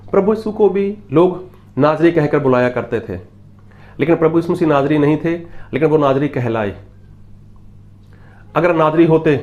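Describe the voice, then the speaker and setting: A preacher from Punjab speaks with a fairly high-pitched man's voice. He teaches by repeating his points and asking rhetorical questions, and he reads Bible passages aloud in an emphatic sermon style. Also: darkish tone, highs cut off. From Punjab, India. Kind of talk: Hindi sermon with Bible readings.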